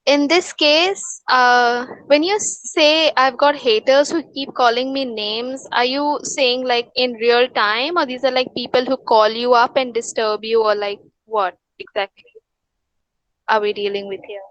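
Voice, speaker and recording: A woman from India, a wellness counsellor, calmly giving advice in a webinar, with many fillers and restarts. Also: fairly high pitch, unhurried, dry recording, low-quality recording. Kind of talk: webinar Q&A answers.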